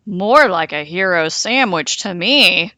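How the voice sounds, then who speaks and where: A woman's voice: lowish voice, lively intonation, slow, pushed and bright, no room sound, full character voice. A woman voicing a big, menacing male wolf in a children's storybook. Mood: gloating, menacing.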